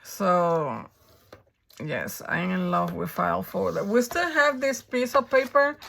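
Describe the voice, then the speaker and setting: A woman with a raspy, hoarse voice from a coming flu, chatting her way through a crafting tutorial and thinking aloud as she works. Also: on the low side, lively intonation, slow, a bit bright. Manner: chatty, thinking aloud while working, frequent ums. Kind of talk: casual step-by-step crafting tutorial.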